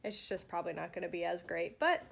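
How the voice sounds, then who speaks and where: A woman's voice: on the low side, big pitch swings, fast, gravelly, fairly bright tone, breathy edge. A young adult American woman chatting casually to a phone camera held at arm's length, as a vlogging mother. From United States. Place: living room at home.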